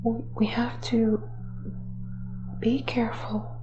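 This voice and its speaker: A woman's voice: a little high, flat delivery, slow, lo-fi sound, background noise. A woman voicing a shy, gloomy ghost in an audio drama, hesitant and trailing off. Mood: fearful, faltering. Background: music.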